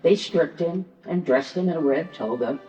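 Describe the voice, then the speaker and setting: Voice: medium pitch, normal speed, warm tone. A narrator reading a scripted Passion story, telling it dramatically and acting out the quotes. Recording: dull, distant, echoing church sound with music.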